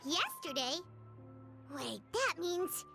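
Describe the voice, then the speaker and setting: A woman voicing a small, childlike fairy companion in a video game, squeaky, earnest and excitable. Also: slow, creaky, over music, full character voice. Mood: surprised. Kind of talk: video game character acting.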